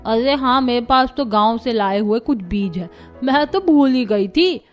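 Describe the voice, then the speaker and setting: A woman voicing a proud old grandmother in a cartoon story, with drawn-out, expressive delivery. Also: a little high, soft background music. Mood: delighted. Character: old grandmother, fond, proud of her handiwork. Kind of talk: Hindi cartoon story dialogue.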